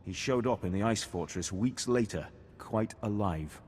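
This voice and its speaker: An actor playing a grave, wry Imperial captain in a video game, speaking formally and in measured phrases. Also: male voice, fairly low pitch, a little mellow, big-room sound, a little hiss or hum, natural voice. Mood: grim, resolute.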